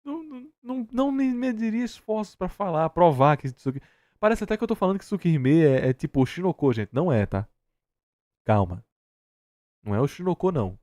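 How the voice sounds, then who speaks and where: A man's voice: high-pitched, very animated, fairly quick, a little mellow, dry recording, studio quality. A Brazilian man co-hosting a reaction show, commenting casually in a sarcastic, argumentative and emphatic way.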